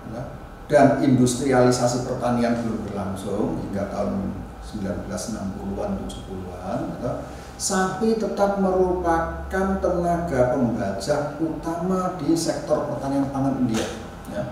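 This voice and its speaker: A man's voice: mid-range voice, animated. Echoey, distant male lecturer. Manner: explanatory, deliberate phrasing with frequent check-in tags. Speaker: university anthropology lecturer. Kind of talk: informal academic lecture. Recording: echoey room, distant mic, noisy.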